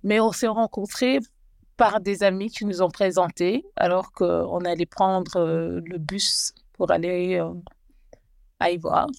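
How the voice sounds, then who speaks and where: A woman in her forties, a guest telling her life story slowly and hesitantly, often restarting as she searches for words. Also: lowish voice, fairly even intonation, gravelly. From Belgium and Rwanda. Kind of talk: personal life story interview.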